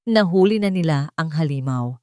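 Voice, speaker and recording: Low-voiced woman narrating a dramatic story. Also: animated, normal speed, darkish tone, dry recording, studio quality.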